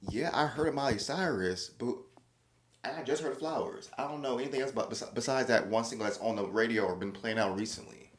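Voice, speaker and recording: A man hosting a solo podcast, talking casually in a rambling, chatty way with many restarts and lively quoted voices. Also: mid-range voice, fairly quick, echoey room, slight background noise. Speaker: podcast host.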